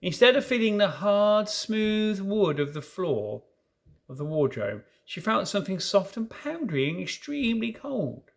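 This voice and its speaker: A man narrates a children's storybook in a lively, highly varied voice that sits fairly high. His delivery is expressive and dramatic, with playful asides along the way. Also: unhurried, vocal fry.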